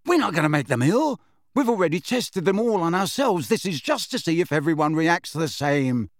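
Man voicing a cocky, lively teenage boy prankster. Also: fairly high pitch, slow, no room sound, clean studio sound, full character voice. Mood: defiant. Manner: breezy, defiant.

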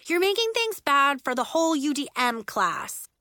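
A woman's voice: on the high side, a little slow, sharp tone, dry recording, clean studio sound. A woman voicing an exasperated, bossy schoolboy who gives firm commands, in an audiobook children's story. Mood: exasperated yelling. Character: exasperated, bossy young schoolboy taking charge.

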